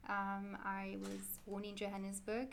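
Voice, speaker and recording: A woman's voice: medium pitch, monotone, measured pace, vocal fry, slight background noise. A woman, answering interview questions in a chatty, rambling way and correcting herself often. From South Africa. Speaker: interview guest, Quran teacher.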